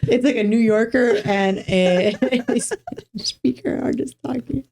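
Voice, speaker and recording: A woman's voice: on the high side, measured pace, vocal fry, darkish tone. A woman hosting a casual podcast conversation as a trial attorney, chatty and rambling, full of fillers and restarts.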